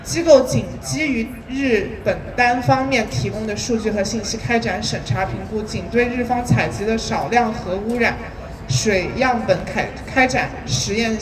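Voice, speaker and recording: A woman's voice: on the high side, normal speed, crowd noise. A woman whose first language is Chinese, speaking as a youth climate activist and carefully reading a statement aloud with small hesitations. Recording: distant mic, clear street noise with passing vehicles.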